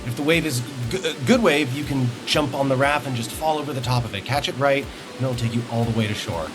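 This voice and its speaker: An excited teenage boy played by a man in a drama table read. He is encouraging and boyish in his eagerness, speaking at a middle pitch over clear background music. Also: fast, lightly acted, rough recording. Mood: eager, encouraging. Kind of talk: film script table read.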